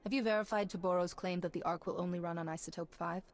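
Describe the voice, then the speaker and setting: A woman's voice: on the low side, fairly even intonation, quick pace, faint music behind, close to an everyday voice. A woman playing a tough, confident Jedi heroine in a game, firm, assured and direct. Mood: questioning. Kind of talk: game cutscene acting.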